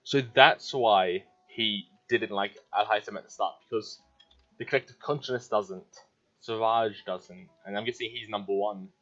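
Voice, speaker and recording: Casting: male gamer, fairly low voice, casual play-along commentary, slightly dull recording. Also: normal speed, fairly bright tone, faint music behind. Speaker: gaming YouTuber.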